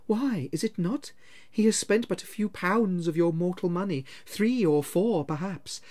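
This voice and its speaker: A reader voicing a calm, solemn spirit who speaks in a quiet, measured way. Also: mid-range voice, voice pushed up, natural voice.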